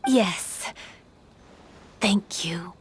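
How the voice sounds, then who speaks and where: Gentle, unhurried young spirit girl. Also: mid-range voice, slight background noise. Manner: polite, soft-spoken, hesitant. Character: gentle earnest young female festival spirit in human form. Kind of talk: video game character acting.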